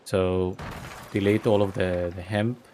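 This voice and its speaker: Man, low voice, gaming commentary. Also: noisy background. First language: Greek. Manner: thinking aloud, relaxed and unhurried. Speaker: gaming video host. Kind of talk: casual gameplay commentary.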